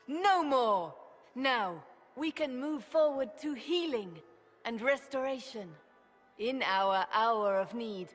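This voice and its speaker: An actress playing a regal, composed empress in a video game, speaking formally and measuredly to her court. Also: a little high. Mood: solemn, resolute. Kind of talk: formal dramatic game acting. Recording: long hall reverb over music.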